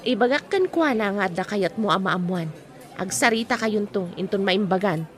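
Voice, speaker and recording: A woman's voice: mid-range voice, animated, normal speed, a faint crowd, natural voice. A woman acting a concerned aunt who gives frank counsel in an Ilocano audio drama. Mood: firm. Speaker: actor in a drama.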